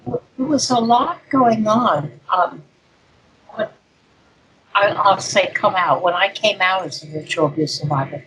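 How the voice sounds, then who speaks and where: An older woman, a guest speaker, talking through an interview in an unhurried, rambling way with plenty of fillers. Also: mid-range voice, crowd noise, rough recording. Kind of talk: conversational interview.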